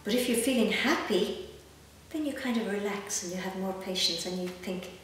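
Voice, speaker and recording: A woman's voice: medium pitch, moderate pace. A woman in her fifties with an Irish accent reads a picture book aloud to children, expressively, with chatty asides and questions. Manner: animated, gently expressive, addressing young listeners with questions. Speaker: guest storyteller. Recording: echoey room, distant mic, faint noise.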